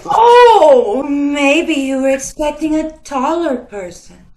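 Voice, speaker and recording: A coy, flattering charmer of a saleswoman from a radio sitcom, played by a woman. She flirts slowly, in a fairly high voice with plenty of room echo around it. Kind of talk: old-time radio sitcom acting. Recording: echoey room, video-call recording.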